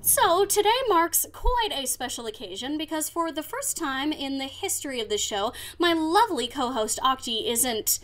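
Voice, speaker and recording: A woman's voice: high voice, sing-song, moderate pace, few pauses, a bit bright, slight background noise. A woman playing a brash, sarcastic pony radio DJ with a big ego in a comic audio drama. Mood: showy. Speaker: radio host. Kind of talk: comic audio drama with first-person narration.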